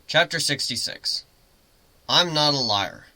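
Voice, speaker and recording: Guy reading a story dramatically, really bright forceful voice, pitch swings around a lot. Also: animated, takes it slowly, no room sound. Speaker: amateur story reader, narrator. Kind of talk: dramatic first-person fan fiction reading.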